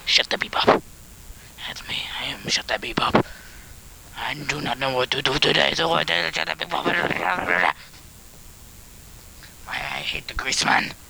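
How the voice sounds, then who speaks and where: A man's voice: a little high, fairly even intonation, measured pace, vocal fry, pauses often, bright, forceful tone, slightly rough, heavily acted, low-quality recording. A man voicing a blustering Soviet villain who boasts about blowing things up, in broken put-on Russian-style English, rambling and giggling in an improvised home tape skit. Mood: boastful. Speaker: comedy character on a home tape.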